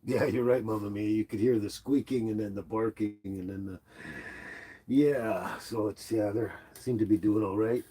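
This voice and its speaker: A man's voice: fairly low pitch, normal speed, husky. A middle-aged man with a stuffy nose, hosting a casual livestream from home and chatting with viewers by name. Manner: rambling, relaxed, talks to viewers by name. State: stuffy from the flu. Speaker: livestream host. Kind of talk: casual livestream chat reading comments. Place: at home indoors. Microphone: laptop microphone.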